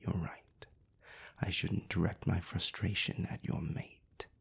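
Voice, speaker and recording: Brief: man voicing a sardonic vampire aristocrat, deep, gravelly, slow, half-whispered barbs. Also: level tone, full character voice. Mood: cool, cutting. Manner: dry, mocking, formally polite. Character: haughty sardonic male vampire, old rival. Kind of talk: audio roleplay acting.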